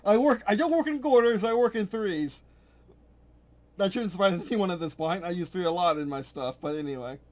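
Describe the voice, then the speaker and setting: A man's voice: high voice, brisk. A man casually thinking aloud at his computer as he reworks his game rules, rambling and correcting himself as he goes. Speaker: game designer streaming his work.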